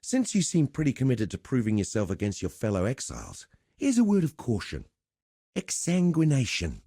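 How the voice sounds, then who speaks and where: An actor playing a roguish, cheerful veteran pit fighter in a video game, talking in a colloquial, wry, swaggering storyteller's way. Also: male voice, mid-range voice, huge pitch swings, normal speed, dark tone, slightly rough, no echo, studio quality, full character voice. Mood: wry mentoring. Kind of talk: scripted game dialogue.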